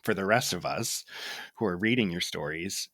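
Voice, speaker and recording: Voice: low-pitched, normal speed, fairly bright tone, no echo. A podcast host asking questions in a casual interview, with short encouraging replies. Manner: brief encouraging backchannels.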